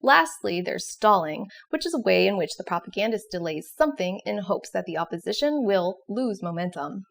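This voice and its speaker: A woman's voice: mid-range voice, very animated, normal speed, creaky. A woman presenting an educational explainer in scripted narration. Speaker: presenter of an educational video series.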